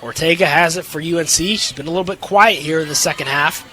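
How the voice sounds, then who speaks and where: A man's voice: on the high side, fast, bright, forceful tone, a little hiss or hum. A young man calling play-by-play sports commentary as an announcer. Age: young adult.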